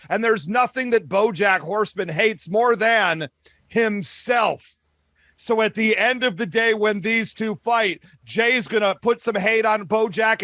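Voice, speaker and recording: A man with a high, bright voice makes a comic case as a debate contestant, recorded close and dry. He builds his points through repetition and little asides. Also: no room sound. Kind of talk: comic improvised argument.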